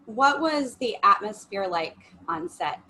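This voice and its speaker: A woman's voice: mid-range voice, lively intonation, a little slow, sharp tone, a little hiss or hum, poor audio. A woman working as an editor, interviewing an actress with polite, enthusiastic questions.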